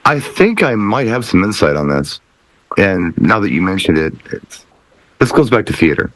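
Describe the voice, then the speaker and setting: Male panelist, lowish voice with lively intonation, thoughtful conversational explainer who pauses deliberately. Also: no echo, low-quality recording. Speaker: DJ talk show panelist. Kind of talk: casual explanatory chat.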